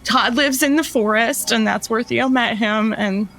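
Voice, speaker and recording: A woman playing a sweet, distraught working mother in an audio drama, speaking in an earnest, halting, fretful way. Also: a little high, fairly bright tone. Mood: worried, earnest. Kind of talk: immersive audio drama acting.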